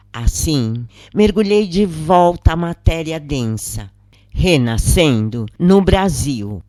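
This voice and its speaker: A narrator reads a scripted first-person testimony in a solemn, measured manner with deliberate pauses. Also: mid-range voice, darkish tone, dry recording.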